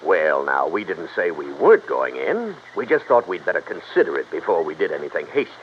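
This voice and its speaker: A man's voice: deep voice, wildly swooping pitch, brisk. An actor playing a sly, folksy American small-town businessman with a taunting manner in an old-time radio drama. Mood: sly, goading. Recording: dull, hissy vintage radio recording.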